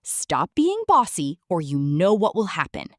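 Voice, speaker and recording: A woman's voice: on the low side, sing-song, no room sound, studio quality. A woman doing a stern, kindly old wizard. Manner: measured, stern. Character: wise, stern but kindly male wizard.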